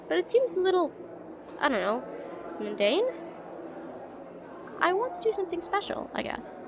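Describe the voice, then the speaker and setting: A woman's voice: sits high, noisy background. A woman playing a shy, rambling young witch student in a slice-of-life fantasy audio roleplay, chatty, hesitant and self-deprecating. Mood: hesitant, musing. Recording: voice over busy classroom crowd ambience.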